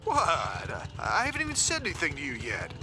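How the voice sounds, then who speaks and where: A man's voice: a little high, wildly swooping pitch, fairly quick, loud music behind the voice. An actor playing a brash, sarcastic male rebel captain in a video game, casual, wry and blunt. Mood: exasperated.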